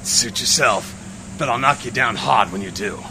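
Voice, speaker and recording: An actor playing a crook in a 1940s-style detective radio drama, with a halting, theatrical delivery. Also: male voice, mid-range voice, lively intonation, pushed and bright, slight background noise, heavily acted, rough recording. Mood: menacing.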